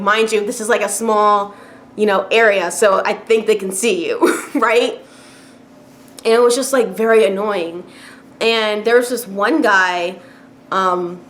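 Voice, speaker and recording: A woman's voice: medium pitch, a bit bright, a little hiss or hum. A woman vlogging a casual rant to camera, talking loosely with many fillers and little laughs, and growing exasperated as she goes on. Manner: chatty, frequent fillers and laughs, rising exasperation.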